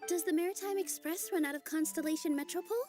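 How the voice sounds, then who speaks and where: Very high-voiced gentle fairy. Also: female voice, quick pace, music in the background. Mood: curious. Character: gentle, encouraging female forest fairy. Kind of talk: video game character acting.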